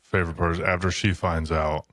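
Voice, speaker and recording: A man's voice: low voice, level tone, a little fast, crowd noise. A man co-hosting a podcast in casual book chat, chiming in with blunt, sweary remarks. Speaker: podcast co-host.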